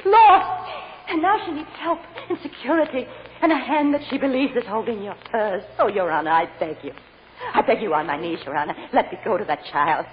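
A woman's voice: on the high side, lively intonation, fairly quick, natural voice. A woman playing an anguished, pleading mother on trial in 1940s radio melodrama, emotional and imploring. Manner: emotional, imploring, breaking into sobs. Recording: muffled old radio recording, reverberant, hissy.

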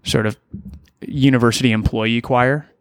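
A man's voice: medium pitch, fairly even intonation, moderate pace, clean tone, no echo, clean studio sound. A young man from Ontario, a musician guest on a podcast, rambling and hesitant with many restarts. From Ontario, Canada. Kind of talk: casual podcast interview.

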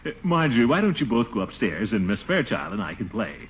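An actor playing a pompous, blustering middle-aged bachelor uncle with a booming voice, given to chuckles, in a 1940s radio sitcom. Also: male voice, sing-song, fast, slight background noise. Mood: flustered. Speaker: title character. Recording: dull vintage radio recording.

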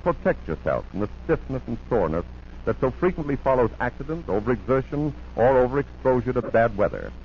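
A man's voice: medium pitch, big pitch swings, brisk. A man announcing in a 1940s radio advertising style, with an emphatic, polished sales delivery. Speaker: commercial announcer. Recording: muffled noisy 1940s radio recording.

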